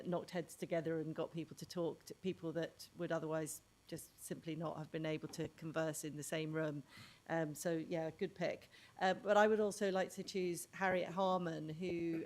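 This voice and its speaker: Low, flat-voiced woman panelist. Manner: measured, thoughtful. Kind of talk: panel discussion answer.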